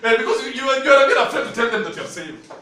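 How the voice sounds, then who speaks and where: A man's voice: very high voice, racing. A man with a Kenyan accent, a Luhya native speaker, preaching a sermon in a church and telling stories animatedly with frequent laughter. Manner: animated storytelling, laughing often. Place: church hall. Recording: very echoey hall, from far off, noisy.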